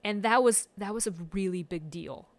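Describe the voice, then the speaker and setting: A woman's voice: mid-range voice, moderate pace, no echo. A woman from Taiwan speaking as a podcast guest in a thoughtful, reflective way. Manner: thoughtful, reflective, with frequent fillers and restarts. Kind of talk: casual conversational podcast.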